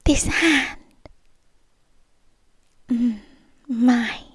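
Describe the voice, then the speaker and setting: A possessive, obsessive young girlfriend with a British accent, played by a woman, whispering softly and breathily. Her voice sits high and moves very slowly, each word lingered over with menacing sweetness. The sound is dry and close, with no room around it. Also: husky, no room sound, heavily acted. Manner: slow, intimate, menacingly sweet. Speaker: ASMR roleplay performer. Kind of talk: ASMR roleplay. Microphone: close microphone.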